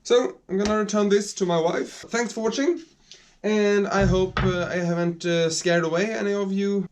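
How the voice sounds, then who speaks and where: Brief: Swedish-accented male maker, a touch higher voice, halting, friendly vlog chat from a home workshop. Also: fairly even intonation. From Sweden. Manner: hesitant, searches for English words. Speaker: hobby woodworker.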